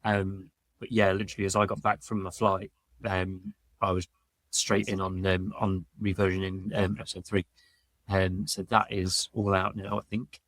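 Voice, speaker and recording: A man with a fairly low voice chats as a podcast guest. He is hesitant and halting, and the sound is dry with hardly any room. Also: little pitch movement, a bit bright, no room sound, rough recording. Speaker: podcast guest, audio mixing engineer. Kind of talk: casual chat.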